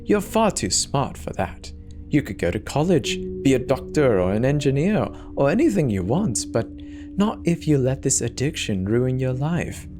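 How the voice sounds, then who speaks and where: A man's voice: mid-range voice, sing-song, moderate pace, over music, lightly acted. A man voicing an anxious, protective father in a horror story, speaking with urgent, pleading emotion. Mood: worried, pleading.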